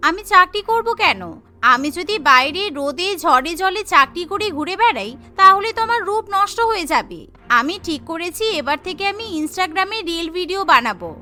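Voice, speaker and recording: Woman voicing high, vain young rich bride. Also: expressive, normal speed, pushed and bright, soft background music, full character voice. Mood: self-satisfied. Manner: haughty, showy.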